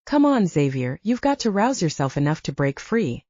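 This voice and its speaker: Lady reading as devoted heroine begging, emotional, lively pitch, soft dark tone. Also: moderate pace, no room sound, dull audio. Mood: urging. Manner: pleading, emotional. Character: desperate, devoted young woman pleading for loved ones. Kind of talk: audiobook character dialogue.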